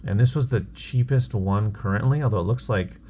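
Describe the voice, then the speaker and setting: Low-voiced man over background noise. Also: fairly quick, mellow. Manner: offhand, thinking aloud. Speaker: online reseller and bookstore owner, vlog host. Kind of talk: casual vlog chat.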